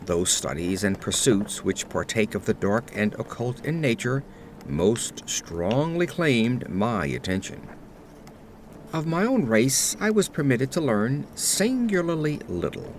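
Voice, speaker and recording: Man acting aged aristocrat, melancholy, formal literary storytelling, gothic audio drama, expressive intonation, faint atmospheric background. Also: lowish voice, unhurried, a little hiss or hum. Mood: somber, melancholy. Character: melancholy aged French nobleman recounting his accursed life. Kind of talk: scripted gothic horror narration.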